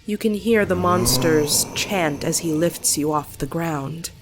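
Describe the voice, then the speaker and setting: A woman voicing a grave monster in a solemn, deliberate, dramatic delivery for a comic dub. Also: sits low, noisy background. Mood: ominous. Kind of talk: dramatic scripted acting. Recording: background music under the voice.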